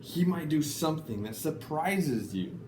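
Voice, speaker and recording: A man reads children's picture books at a slow, deliberate pace. His pitch moves up and down in a lively storytelling way, and the room is noticeably echoey. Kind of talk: expressive children's story reading. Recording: echoey room, faint background noise.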